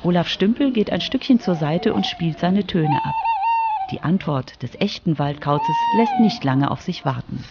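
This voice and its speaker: A woman's voice: fairly low pitch, wildly swooping pitch, normal speed, lo-fi sound, heavy background noise. A woman reporter reading scripted narration for a children's radio feature.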